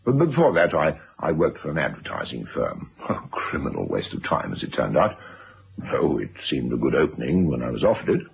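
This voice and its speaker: A brusque self-made businessman in an old radio play. His voice is very low and gravelly, swinging widely as he blusters and stresses his words. The dull recording has clear noise. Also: huge pitch swings, fairly quick, exaggerated voice. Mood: dismissive. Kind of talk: 1970s radio drama acting.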